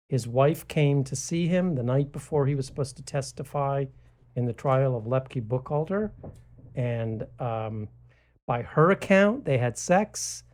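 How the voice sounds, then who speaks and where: A man's voice: mid-range voice, darkish tone. A man from New City, an author guest telling stories in conversation with hesitant fillers. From New York City. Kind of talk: conversational storytelling.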